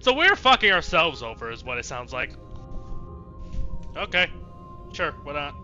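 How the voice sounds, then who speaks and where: Guy doing a lets play, super bright voice, pitch all over, sweary casual reactions, bit of music. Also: big pitch swings, normal speed, lo-fi sound. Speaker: game streamer. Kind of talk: casual let's play commentary. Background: faint music.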